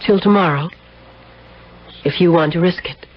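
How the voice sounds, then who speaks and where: A woman's voice: lowish voice, moderate pace, close to an everyday voice. Sardonic, seductive married woman, played by an actress, arch and guarded, on noisy, muffled vintage radio. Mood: conspiratorial. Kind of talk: 1970s radio drama acting. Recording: dull, noisy 1970s radio broadcast.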